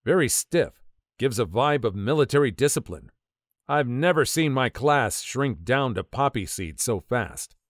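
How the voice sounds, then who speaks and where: A man narrating online stories in a scripted reading style, with an expressive, lively delivery. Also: mid-range voice, measured pace, no echo, clean studio sound. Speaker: story narrator.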